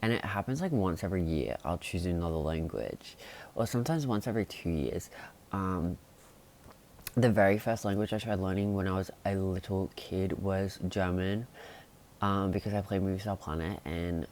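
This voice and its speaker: A young man chatting casually to camera in a rambling vlog, full of fillers and sudden excited jumps in pitch. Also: normal speed, a little hiss or hum. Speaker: vlogger.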